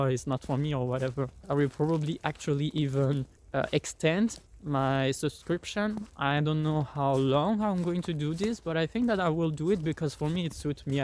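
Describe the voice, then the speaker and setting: A man's voice: a little high, a little mellow. French-accented man, loose rambling review. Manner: loose, rambling, many "like" fillers and restarts. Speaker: vlogger. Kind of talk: casual app review. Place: outdoors in cold weather. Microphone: phone held by the speaker.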